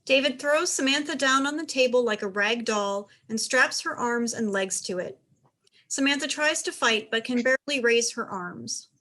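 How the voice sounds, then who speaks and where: A woman's voice: on the high side, normal speed, pushed and bright. A woman reading scripted stage directions as the narrator of a play.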